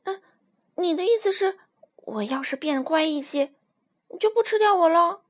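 A woman voicing a mischievous little circus girl who pleads in a high, bouncy voice. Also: lively intonation, normal speed. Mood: pleading.

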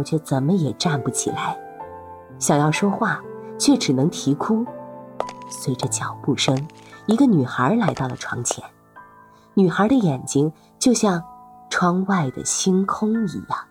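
Woman narrator, lower pitch, animated delivery, dramatic scripted storytelling, faint background music. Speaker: host and narrator.

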